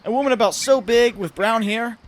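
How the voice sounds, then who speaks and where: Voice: mid-range voice, brisk, close to an everyday voice. A performer voicing a brave, casual, quippy young male superhero in a comic-book dub. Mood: wry.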